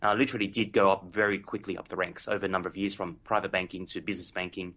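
A man's voice: low voice, level tone, rapid-fire, a bit bright. A man in his forties from Vietnam with an Australian accent, telling his life story as a podcast guest in an earnest way, often saying "literally" and "so to speak". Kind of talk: casual interview storytelling.

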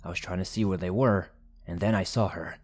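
A man reading first-person horror stories as a narrator, in a measured, deliberate storytelling manner. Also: low-pitched, fairly even intonation. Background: background music.

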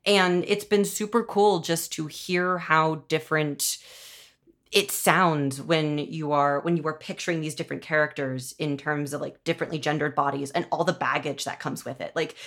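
A woman hosting a podcast, chatting casually in an animated, emphatic and enthusiastic way. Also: low voice, moderate pace. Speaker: podcast host and audio drama showrunner. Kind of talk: casual interview chat.

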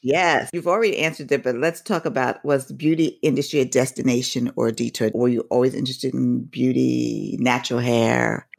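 A woman hosting an interview podcast, introducing her guest and asking questions in a measured way. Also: very deep voice, steady tone, vocal fry. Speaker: podcast host.

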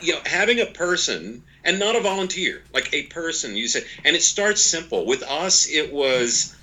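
A man's voice: a little high, sing-song, normal speed, pushed and bright, a little hiss or hum, rough recording. A man in his forties speaking as a podcast guest, explaining ideas conversationally in a folksy, emphatic way with repetition and quoted asides. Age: 40s. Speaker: podcast guest, author and organization leader.

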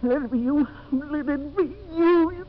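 High-voiced, grim righteous lawman. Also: measured pace. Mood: bitter, accusing. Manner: intense, emphatic, repetitive. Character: grim, righteous frontier lawman, fiercely accusing. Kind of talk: 1950s radio drama acting. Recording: muffled, noisy 1950s radio recording.

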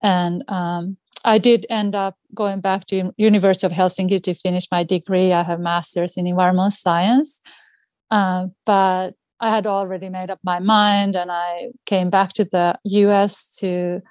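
A woman's voice: lowish voice, little pitch movement, normal speed, darkish tone, no room sound. A middle-aged woman from Finland with a Finnish accent, chatting casually as an interview guest. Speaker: podcast guest, outdoor educator.

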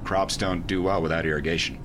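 Casting: male actor as blunt sci-fi commander, lowish pitch, practical delivery, noisy industrial setting. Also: steady tone, brisk, close to an everyday voice. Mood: matter-of-fact. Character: blunt, gruff male commander of deep-sea base. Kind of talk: sci-fi audio drama acting. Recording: loud rumbling industrial ambience.

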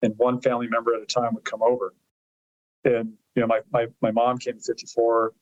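A man's voice: mid-range voice, monotone, fairly quick, dry recording, dull audio. A man in his fifties, a guest in an interview, telling family stories in a rambling way, often repeating words as he searches for his thought; Italian was his first language. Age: 50s. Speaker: guest, lawyer and author. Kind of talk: storytelling interview.

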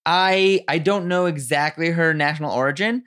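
Woman co-host, very low pitch, chatty and meandering, a little tipsy. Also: a bit bright. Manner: chatty, thinks aloud with restarts, quick bursts. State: tipsy from drinking. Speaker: podcast co-host. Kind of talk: casual chat.

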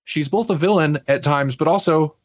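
A man's voice: on the high side, moderate pace, dry recording. A man co-hosting a TV review podcast, chatting casually in a rambling, opinionated way with frequent asides. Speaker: podcast co-host reviewing TV series. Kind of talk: casual chat.

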